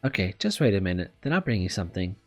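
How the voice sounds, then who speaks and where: A man voicing a caring, casual schoolgirl in a visual novel, breezy and gently bossy, with music behind. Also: low voice, very animated, fast talker, heavily acted. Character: caring, casual schoolgirl looking after her sick girlfriend. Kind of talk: visual novel read aloud. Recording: background music under the voice.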